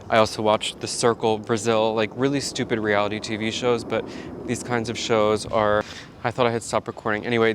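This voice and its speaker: A man's voice: fairly low pitch, fairly bright tone, a little hiss or hum. A man chatting casually and rambling a bit as he vlogs his day. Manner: chatty, rambling, self-talking. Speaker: vlogger. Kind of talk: casual vlog chat.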